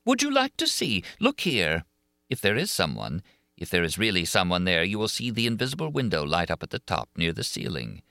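A man's voice: low-pitched, big pitch swings, a little fast, gravelly, bright, forceful tone, no echo, studio quality, full character voice. A man voicing a menacing, jealous male monster who is angry and mocking.

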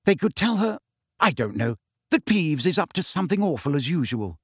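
A man's voice: on the high side, sing-song, moderate pace, fairly bright tone, slightly rough, no echo, studio quality, full character voice. A man voicing a clever, anxious teenage girl witch who argues fiercely and pleads.

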